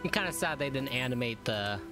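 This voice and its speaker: A man reacts casually to a video game story. He sounds informal and amused, with clearly audible game music behind him. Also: mid-range voice, expressive, fairly quick. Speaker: gamer reacting to a story quest. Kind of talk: casual gameplay reaction commentary.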